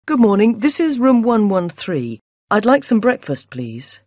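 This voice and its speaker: A woman's voice: mid-range voice, sing-song, unhurried, darkish tone. A woman reading a scripted learner dialogue, ordering in a polite, careful way. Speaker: hotel guest in dialogue.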